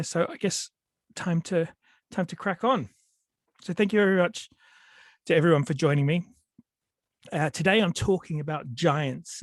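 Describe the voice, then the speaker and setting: A man's voice: mid-range voice, no room sound. Sniffly man giving an online talk. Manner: hesitant, many fillers and restarts. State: sniffly with a cold. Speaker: storyteller. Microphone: video call.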